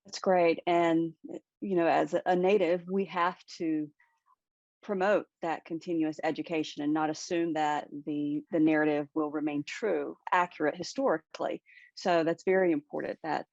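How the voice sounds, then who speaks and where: An American woman moderating a book discussion with deliberate, formal phrasing. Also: deep voice, steady tone, vocal fry, a little mellow, no echo, lo-fi sound.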